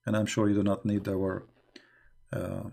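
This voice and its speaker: A man whose first language is Arabic, hosting a livestream and telling stories casually in English, mocking and animated, with many fillers and non-native grammar. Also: fairly low pitch, creaky. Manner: animated, mocking, quick bursts in retold quotes. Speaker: livestream host. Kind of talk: casual storytelling to a live chat.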